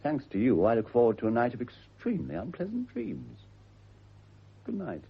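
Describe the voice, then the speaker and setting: Urbane gentleman, upper-class English accent, highly animated intonation, husky, drily teasing, dull radio sound. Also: normal speed, full character voice. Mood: dry, amused. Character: urbane, unflappable gentleman with dry wit. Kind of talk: British radio drama acting.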